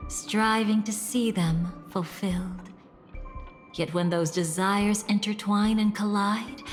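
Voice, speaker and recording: A woman voicing a poised, gentle and coy guide in a video game, speaking in a measured, intimate, enigmatic way. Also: medium pitch, close to an everyday voice. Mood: reflective. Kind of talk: dramatic video game voice acting. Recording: long reverb, faint music behind. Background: soft background music.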